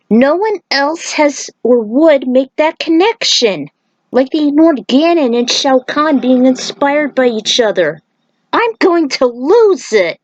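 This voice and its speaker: A woman's voice: a little high, no room sound, poor audio. A woman giving casual, emphatic fan commentary in an opinionated way, like an author narrating her own video.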